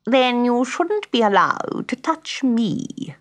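A woman's voice: a little high, big pitch swings, slow, a bit bright, pitched above the performer's own voice, full character voice. A woman voicing a pompous, cross grand piano in a children's story. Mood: haughty, cross. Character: haughty, snappish superior grand piano.

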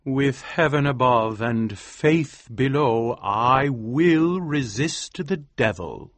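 A man voicing an anxious, pious young Puritan husband who cries out in slow, anguished phrases. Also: mid-range voice, lively intonation, dry recording. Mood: prayerful. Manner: drawn-out, anguished crying out.

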